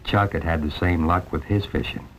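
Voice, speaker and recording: A man's voice: deep voice, moderate pace, lightly acted. An actor playing an easygoing young American airman, narrating his story in a folksy, wry, conversational way. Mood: reflective. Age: young adult. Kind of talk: first-person 1950s film narration. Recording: dull 1950s film soundtrack with music.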